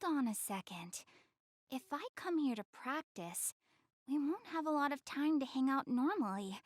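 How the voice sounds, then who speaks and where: A woman playing a proud, self-conscious young goddess girl in an anime-style game, expressive, easily flustered and earnest. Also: high-pitched, moderate pace, no room sound, studio quality. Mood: earnest, determined.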